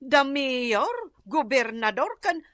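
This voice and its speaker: A woman playing an excited, gossipy village neighbour in a comedic radio drama, exclamatory, with an Ilocano accent. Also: sits high, slow, dry recording, clean studio sound, full character voice.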